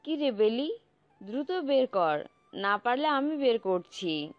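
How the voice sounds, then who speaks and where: A woman playing a fussing middle-aged mother in a romantic audio story, talking in a brisk, nagging, motherly way. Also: on the high side, big pitch swings, no echo. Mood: brisk, insistent. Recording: slightly dull close recording, music bed. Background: background music.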